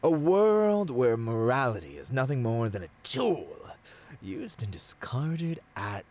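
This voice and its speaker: A man playing a gloating, seductive supervillain in an ASMR roleplay, theatrical and grandiose, savoring words and often dropping to a breathy near-whisper. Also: medium pitch, sing-song, slow pace, a little mellow, a little hiss or hum. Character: gloating seductive male supervillain taunting a caged hero. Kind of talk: ASMR villain roleplay monologue.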